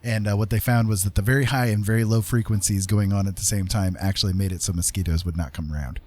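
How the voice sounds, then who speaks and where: A man's voice: fairly low pitch, fairly even intonation, fast, a little mellow. A man hosting a casual livestream, chatting in an easygoing, rambling, jokey way. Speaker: livestream host and digital artist. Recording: music playing behind the talk. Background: background music.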